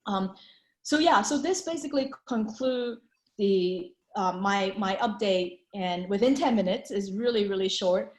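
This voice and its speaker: A woman's voice: medium pitch, measured pace, darkish tone. Woman presenting on a video call, chatty with lots of ums, echoey room. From Hong Kong. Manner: chatty, many fillers and restarts. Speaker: venture capital panelist. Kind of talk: presenting slides in an online panel.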